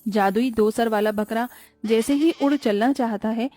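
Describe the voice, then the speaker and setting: A woman narrating a scripted cartoon story in a calm storytelling manner. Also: a little high, moderate pace, dry recording, soft background music.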